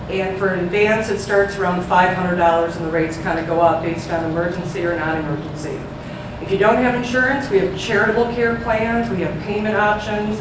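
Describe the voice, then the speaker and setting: A woman, an ambulance company representative, explaining billing at a city meeting in a businesslike way. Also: medium pitch. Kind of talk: answering questions at a public meeting. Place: city council chamber. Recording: very echoey hall, distant mic, hum.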